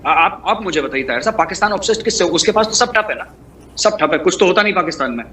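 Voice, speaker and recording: A man's voice: high voice, lively intonation, fast, bright, forceful tone. A man giving political commentary as a guest, emphatic, piling up rhetorical questions and repeated lists. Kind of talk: political discussion, answering a host. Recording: clearly noisy background.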